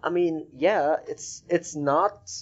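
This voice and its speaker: A man's voice: fairly high pitch, slow, muffled recording. A man hosting a casual podcast interview.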